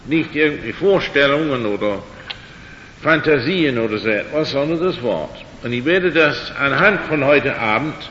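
A man's voice: animated, a bit bright. An older man speaking German as a second language, giving an evening talk on faith with dry humor and frequent hesitations. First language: not German. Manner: slow, halting, with dry humor and many fillers. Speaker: lecturer. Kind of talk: evening lecture on creation and faith. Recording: dull, echoey hall recording with faint rumble.

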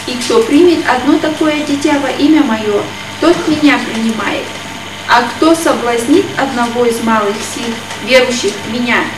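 A woman interprets a sermon phrase by phrase and reads scripture aloud steadily and carefully. Her voice is high. She is recorded from far away in an echoey hall, with clear background noise. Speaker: interpreter, preacher's wife. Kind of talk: consecutive interpreting and scripture reading. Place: church hall.

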